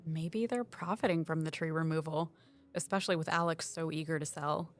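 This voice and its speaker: A woman playing a shrewd, low-voiced investigator. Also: steady tone, fairly quick, faint music behind, natural voice. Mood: suspicious. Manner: dry, matter-of-fact, explanatory. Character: shrewd, knowing female colleague, quietly suspicious investigator. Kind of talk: audio drama acting.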